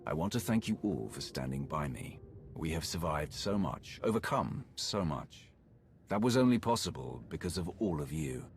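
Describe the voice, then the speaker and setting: An actor playing a resolute male Sith military commander in a video game, measured and authoritative. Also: rumbling low, sing-song, heavily acted. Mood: solemn, rallying. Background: game music.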